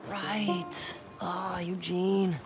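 A woman's voice: on the low side, level tone, extremely slow, loud music behind the voice. An actress playing a guarded young woman survivor with a wry, understated manner in a video game drama. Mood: low-key. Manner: dry, understated, sarcastic. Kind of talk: naturalistic video game acting.

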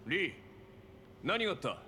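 A stern commanding mentor. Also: male voice, fairly high pitch, sing-song, normal speed, bright, forceful tone, a little hiss or hum. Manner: commanding, clipped. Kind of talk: game cutscene dub.

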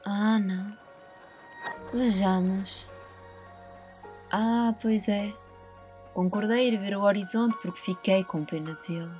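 A woman's voice: medium pitch, fairly even intonation, takes it slowly, pauses often, a little mellow, faint music behind, lightly acted. A woman with a European Portuguese accent voicing a bored, scheming young woman teacher who feigns sweetness in an anime fan dub. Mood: bored, cynical.

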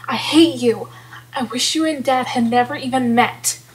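An actress with an American accent playing a defiant, scheming sixteen-year-old daughter in a teen TV drama. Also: female voice, sits high, normal speed, over loud music, natural voice. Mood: defiant, angry. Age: teens. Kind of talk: live-action teen TV film acting. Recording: noisy TV clip with background ambience.

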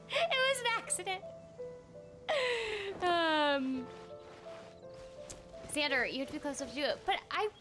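A young woman chatting casually as she streams a game, giggly and quick to exclaim, on a close microphone indoors. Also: extremely high pitch. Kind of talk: casual chat over live gameplay. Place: indoor room at home. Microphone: close desk microphone with webcam. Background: game music.

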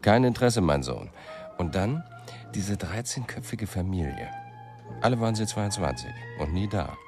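Radio drama lead: a brooding male writer, low voice, expressive swings, music underneath. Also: moderate pace. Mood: wry, reflective. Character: brooding male New York writer, self-doubting storyteller. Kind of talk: German radio play acting.

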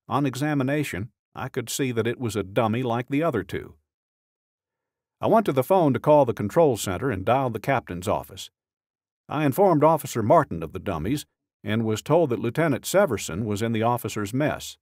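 Man narrating with very lively pitch. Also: no echo, clean studio sound. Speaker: audiobook narrator. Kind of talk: scripted audiobook narration of true crime. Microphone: close studio microphone.

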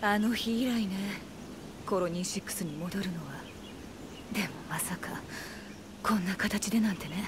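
An actress playing a determined, caring young army medic in a video game, earnest and emotional. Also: female voice, mid-range voice, normal speed, pauses often, noisy background, lightly acted. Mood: subdued, resolute. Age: young adult. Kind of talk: anime-style video game drama acting. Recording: music behind the voice.

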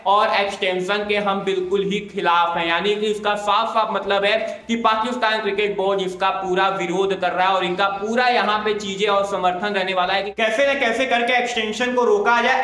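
A young man presenting an energetic cricket news roundup to camera, gesturing with his hands and repeatedly calling out to his viewers. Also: high voice. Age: young adult. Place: small indoor room with plain wall. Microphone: clip-on lapel mic. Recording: roomy echo.

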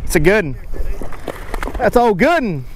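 A man in his thirties casually narrating a fishing trip from a boat, folksy and excitable, talking to his dog and the fish. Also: sits high, measured pace, slight background noise. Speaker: fishing guide and channel host. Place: outdoors on a boat on a lake.

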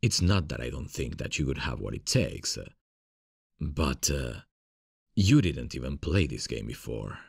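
An extremely low-pitched, husky man's voice as a male voice actor plays it in an ASMR boyfriend roleplay. He is a playful, cocky gamer who takes his time over his words and sounds close and dry. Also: big pitch swings, a little slow, no echo, exaggerated voice. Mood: teasing, cocky. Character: playful frustrated gamer boyfriend, grown man, cocky but affectionate.

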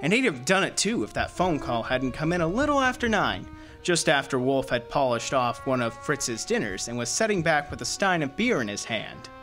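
An actor playing a flippant, slangy detective's assistant who narrates a hardboiled radio drama. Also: male voice, mid-range voice, very animated, moderate pace, over music, close to an everyday voice. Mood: wry narrating. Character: wisecracking streetwise male detective's assistant, narrator.

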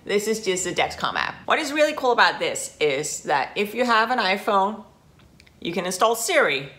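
Guest, woman, 40s, from Denmark, bright chatty voice, home living room. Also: mid-range voice, moderate pace. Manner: friendly, chatty, animated with hand gestures. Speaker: guest, founder of a website. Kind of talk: casual talk to camera.

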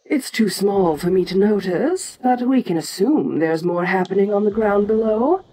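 A woman playing an ancient, imperious giant monster queen in an audio roleplay, speaking in a regal, deliberate and musing manner with frequent pauses. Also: medium pitch, animated, warm tone, slight background noise, lightly acted, low-quality recording. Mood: matter-of-fact, commanding. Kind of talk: slow in-character audio roleplay monologue.

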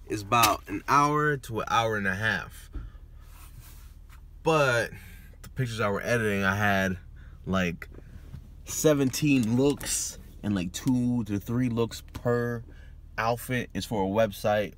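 A man's voice: on the low side, a little slow, pauses often, fairly bright tone. A man in his thirties chatting casually as a vlogger, recorded on a phone held at arm's length. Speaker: vlogger, photographer. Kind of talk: casual vlog chat. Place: outdoors and inside a car. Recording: noisy street and car ambience.

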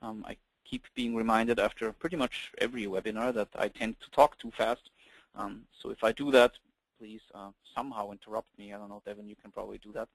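Man, Austrian German accent, webinar presenter, middle-aged, quick with fillers, dry close audio. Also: lowish voice, steady tone, dry recording, low-quality recording. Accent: German-speaking Austrian. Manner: quick, with many ums and uhs. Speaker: webinar presenter, company founder. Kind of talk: presenting a webinar over slides. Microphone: computer mic on a webinar call.

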